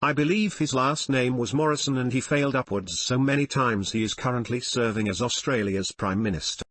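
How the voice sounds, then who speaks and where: A man's voice: mid-range voice, brisk, fairly bright tone, slight background noise. A man narrating forum stories and comments from a script, in the role of a reader. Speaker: narrator reading online forum posts and comments.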